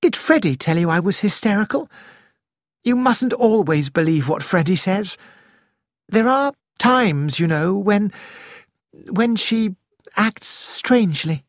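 A reader voicing a frightened young woman who keeps up a brittle, brave front, speaking quickly and shakily. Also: mid-range voice, expressive, dry recording, clean studio sound, voice pushed up.

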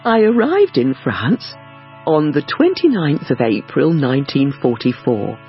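A man reading a first-person life story slowly and carefully for language learners, on a dull lo-fi recording. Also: a little high, very animated, faint music behind. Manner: slow, careful, clearly paced reading. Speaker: audiobook reader.

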